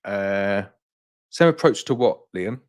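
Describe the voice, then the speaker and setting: A man's voice: fairly low pitch, lively intonation, takes it slowly, clean tone. A young man hosting a casual live-stream Q&A and answering viewers' questions, his throat a little tired from a long day of talking. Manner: reads viewer comments aloud in a brighter voice, then answers plainly.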